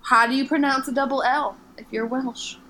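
A woman's voice: high voice, steady tone, normal speed, bright, forceful tone, slight background noise, low-quality recording. A woman co-hosting a podcast, chatting casually about a TV episode with wry, playful jokes. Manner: wry, jokey, playful asides. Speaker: podcast co-host. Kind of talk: casual chat recapping a TV episode.

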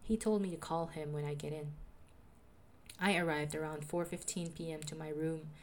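Soothing, lower-voiced young woman. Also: moderate pace, a little hiss or hum. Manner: calm, reflective, confessional. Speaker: podcaster. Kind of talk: personal diary narration.

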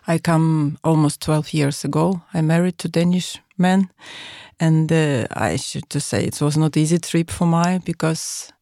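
A woman from Ukraine speaks as a guest in a podcast interview, in halting full of pauses and fillers. Her voice is low-toned and gravelly, and the studio sound is dry and close. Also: dark tone, no echo. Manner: halting non-native English with many fillers. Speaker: guest, association chairwoman.

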